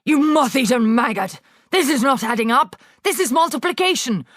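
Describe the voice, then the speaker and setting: A woman voicing a bullying female headmistress who shouts insults at a pupil. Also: high voice, expressive, normal speed, dry recording, clean studio sound, pitched above the performer's own voice. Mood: furious, bullying.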